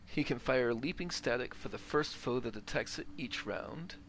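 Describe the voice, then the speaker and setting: A man's voice: medium pitch, normal speed, fairly bright tone. Man thinking aloud, noisy game ambience behind. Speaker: gaming video host. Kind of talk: casual gameplay commentary, thinking aloud.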